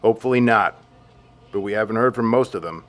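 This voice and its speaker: A man's voice: fairly low pitch, a little fast, fairly bright tone, close to an everyday voice. A man playing a formal, pragmatic army general in an audio drama, speaking with clipped authority. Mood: firm.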